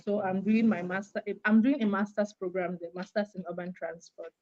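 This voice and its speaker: A young woman from Cameroon, a graduate student, explaining things clearly and steadily as an interview guest over a call. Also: mid-range voice, fairly even intonation, brisk, darkish tone, lo-fi sound.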